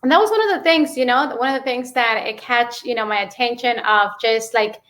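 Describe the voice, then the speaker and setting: A woman with a Mexican accent and Spanish as her first language tells her story casually and haltingly, often saying "you know.". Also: on the high side, fairly bright tone. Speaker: interview guest, businesswoman.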